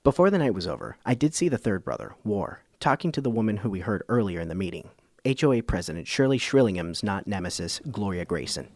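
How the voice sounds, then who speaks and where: A man acts an inquisitive radio documentary host in a parody of public radio. The voice is fairly low with lively intonation, measured and agreeable. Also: dry recording. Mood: wry narration.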